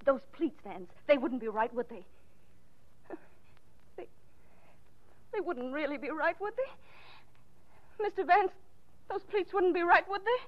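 An actress in a 1940s radio mystery playing an unhinged, boastful murderous wife, agitated and insistent. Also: female voice, high voice, expressive, takes it slowly, pauses often. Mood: desperate, pleading. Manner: agitated, insistent, obsessively repeating herself. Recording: muffled vintage 1940s radio recording.

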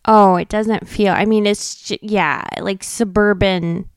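A woman's voice: mid-range voice, steady tone, a little slow, clear, resonant tone, no echo, studio quality. A woman co-hosting a book club podcast, chatting in casual banter. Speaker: podcast co-host. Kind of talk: casual banter about books.

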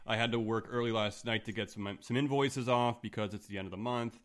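A man from Canada with a deep voice, in his thirties, co-hosting a podcast in relaxed, casual chat. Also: a little fast. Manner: relaxed and chatty, trailing off quietly at phrase ends. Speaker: podcast co-host.

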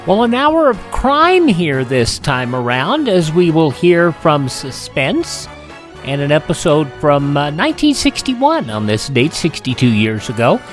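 A man's voice: fairly high pitch, big pitch swings, unhurried, over music. A man hosting a radio show, talking through a conversational, partly scripted rundown of history.

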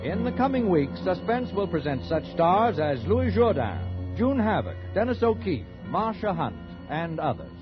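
A man's voice: high voice, very animated, a little slow, hardly any pauses, muffled recording, music in the background. An American man working as a radio announcer, reading scripted credits in 1940s broadcast style.

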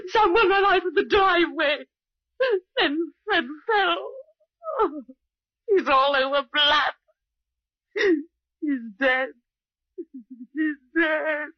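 Young English wife, sharp-tongued and dismissive, old radio drama, dull archival sound. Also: female voice, extremely high pitch, animated, very slow, long pauses, exaggerated voice. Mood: hysterical.

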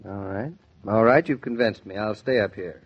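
A dulled vintage broadcast carries a young husband and father. He is wry and proud, with a lowish voice and the delivery of 1940s radio drama. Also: moderate pace, slightly rough, dull audio. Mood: wry, warming.

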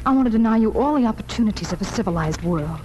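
An actress playing a sincere, conflicted young schoolteacher in a 1940s radio drama. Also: female voice, on the high side, expressive, racing, dark tone, natural voice. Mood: pleading, troubled. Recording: noisy old 1940s radio recording.

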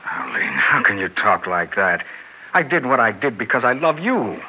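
An actor playing a scheming, persuasive American husband in a 1960s radio drama, smooth-talking but hesitant under pressure. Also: male voice, mid-range voice, big pitch swings, moderate pace. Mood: soothing. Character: scheming, smooth-talking husband who plotted his wife's murder. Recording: dull, hissy 1960s radio recording.

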